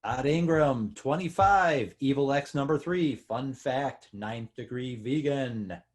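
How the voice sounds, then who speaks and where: A man reads out scene headings at a script table read. His voice is medium in pitch and husky, announcing each short phrase as scripted. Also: slow. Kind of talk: scripted screenplay reading.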